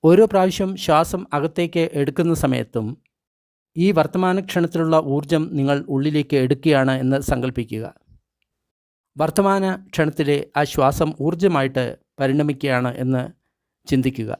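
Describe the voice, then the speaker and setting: Higher-voiced man guiding a meditation. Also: a little mellow, no echo, clean studio sound. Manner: measured, instructional, short phrases with pauses. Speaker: meditation guide. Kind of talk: guided meditation, read from a translated script.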